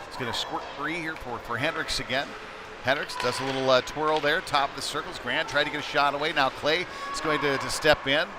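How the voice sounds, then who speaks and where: A man giving live ice hockey play-by-play in a conversational, fragmented way, following the action phrase by phrase. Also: on the high side. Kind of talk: live sports commentary. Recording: noisy live rink broadcast.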